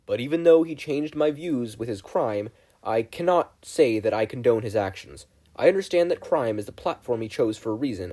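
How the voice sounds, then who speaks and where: A man's voice: mid-range voice, warm tone, lightly acted. A man voicing an earnest, formal and dramatic teenage boy student in a story reading.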